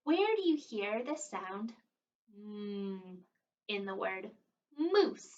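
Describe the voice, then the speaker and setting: A woman leading story time and a lesson for young children, encouraging and exaggerated, pausing as if for their answers. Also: medium pitch, big pitch swings, darkish tone. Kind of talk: read-aloud and interactive lesson for young children. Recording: dull, distant microphone sound.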